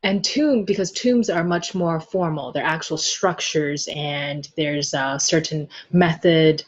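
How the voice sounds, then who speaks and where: A woman's voice: deep voice, slow, muffled recording. A woman speaking as a podcast guest in a casual chat, playful and giggly, often thinking aloud.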